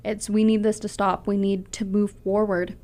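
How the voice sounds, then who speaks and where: A woman's voice: mid-range voice, little pitch movement, moderate pace, warm tone. Young woman, public speaker, earnest, passionate, big voice. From Canada. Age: young adult. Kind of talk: interview answers.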